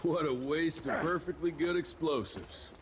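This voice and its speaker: An actor playing a tough, laconic western gunslinger with a wry manner in a video game. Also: male voice, on the high side, unhurried, a little hiss or hum, close to an everyday voice. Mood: wry, unfazed. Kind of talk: video game cutscene acting.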